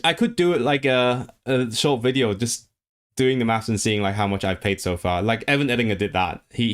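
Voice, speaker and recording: A man's voice: lowish voice, fairly bright tone, clean tone. A man chatting casually on his own livestream, rambling with frequent restarts and fillers. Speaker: language streamer.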